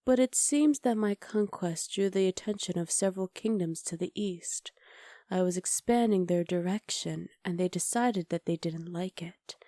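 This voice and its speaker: A woman playing a haughty, menacing witch conqueror in an ASMR roleplay, speaking in soft, measured, formal sentences with a hushed, breathy delivery. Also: lowish voice, no room sound, clean studio sound, close to an everyday voice. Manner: imperious, measured, formal diction.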